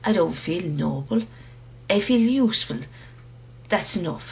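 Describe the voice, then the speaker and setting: An actress playing an earnest, quietly challenging woman in a radio play, speaking in a measured, persistent, questioning manner. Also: on the low side, animated, vocal fry, dull audio, a little hiss or hum. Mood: earnest, probing.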